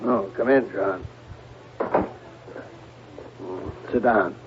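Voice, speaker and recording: A man's voice: fairly low pitch, normal speed, lightly acted. Man playing a terse, guarded boss, tinny radio. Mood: cool, probing. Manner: terse, questioning, authoritative. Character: probing, guarded male chief of a secret project. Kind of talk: 1970s radio drama acting. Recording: tinny, noisy old radio broadcast.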